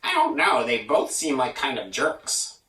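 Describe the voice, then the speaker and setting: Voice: medium pitch, huge pitch swings, a little slow, sharp tone, recorded from a distance. A person casually commenting on a story in a slangy, laid-back way. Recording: echoey room, away from the mic.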